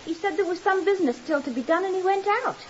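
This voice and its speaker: A fretful landlady, very high and animated in voice. She chatters in a flustered, deferential way, as in a 1950s radio play. The recording is dull. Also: quick pace, slight background noise. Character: fretful, chatty, deferential landlady lending money to her lodger. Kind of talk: 1950s radio drama acting.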